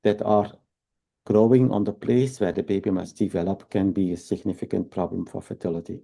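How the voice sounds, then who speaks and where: A Belgian-accented man in his fifties with a low, gravelly, mellow voice. He is a fertility doctor in an interview, and he explains patiently and methodically, often checking in with tag questions. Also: flat delivery, brisk.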